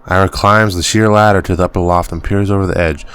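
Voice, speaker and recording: Deep-voiced man narrating a game. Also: fairly even intonation, a bit bright, clear, resonant tone. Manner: halting reading, stumbles over words and laughs it off. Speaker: gaming channel host. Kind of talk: reading game text aloud as narration.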